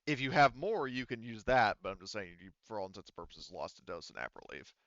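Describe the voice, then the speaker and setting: Muffled, smooth-voiced man running a game. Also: lowish voice, sing-song, quick pace, a bit bright, no room sound. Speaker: game master. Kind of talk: casual talk running a tabletop roleplaying game.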